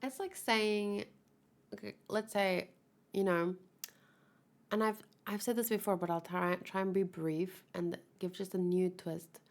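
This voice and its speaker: A woman's voice: medium pitch, steady tone, a little slow. Woman giving argumentative, informal commentary. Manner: argumentative, thinking aloud, acts out imagined replies. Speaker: host of a manga read-along and commentary channel. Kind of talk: informal commentary mixed with reading aloud.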